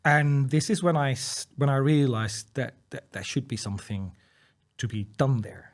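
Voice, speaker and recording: A man's voice: mid-range voice, normal speed. A man whose first language is Dutch, a guest in an interview, talking earnestly and encouragingly with frequent restarts and repeated words. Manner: laughs lightly between points. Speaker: interview guest, dyslexia educator. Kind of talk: casual interview conversation.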